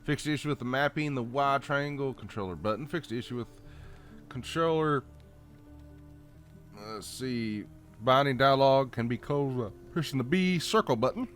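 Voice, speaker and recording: A man with a Southern American accent reads update notes aloud in a folksy, halting way, adding off-the-cuff comments. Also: mid-range voice, a bit bright. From Southern United States. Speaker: gaming channel host.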